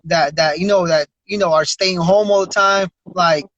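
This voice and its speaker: A man's voice: high-pitched, fairly even intonation, moderate pace, a bit bright. A man hosting a casual interview podcast, talking in an encouraging, slangy conversational way. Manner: slangy, encouraging, often says "bro" and "you know what I mean". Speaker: podcast host. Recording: dry, dull lo-fi sound.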